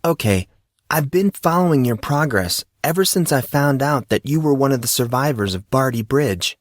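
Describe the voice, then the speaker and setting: A man voicing a polite, knowing teenage schoolboy in audiobook dialogue, calm and measured. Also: medium pitch, dry recording, studio quality, voice pushed up, close to an everyday voice.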